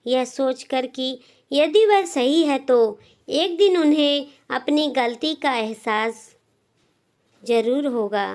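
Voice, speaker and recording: A woman narrating a scripted moral story as a storyteller, in deliberate phrases with frequent pauses. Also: high voice, darkish tone.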